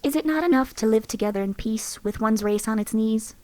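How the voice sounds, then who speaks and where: A woman acting out a wry, philosophical companion from a game, higher-pitched and calm. The recording is dry and close, with no room sound. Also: brisk, no echo, lightly acted. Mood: wry musing. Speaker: voice actress for a game companion mod.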